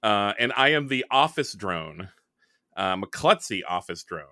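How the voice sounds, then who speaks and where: Bright, animated man running a tabletop game. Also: lowish voice, measured pace, no room sound, studio quality. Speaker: game facilitator and player. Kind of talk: casual tabletop game explanation and banter.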